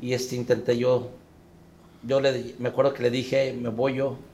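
A man's voice: medium pitch, little pitch movement, darkish tone, a little hiss or hum. Reflective middle-aged man. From Mexico. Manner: reflective, halting, emotional. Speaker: guest, father. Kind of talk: interview, personal story.

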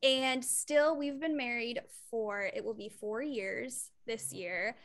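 Brief: high-pitched young woman from Iowa, animated podcast co-host telling stories. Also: slow pace. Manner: animated storyteller, acts out quotes. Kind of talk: casual chat.

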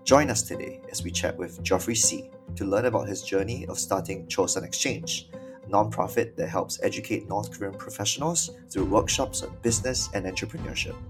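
A man's voice: fairly low pitch, brisk, music in the background. A man hosting a podcast, reading an upbeat intro and then asking friendly questions. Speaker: podcast host.

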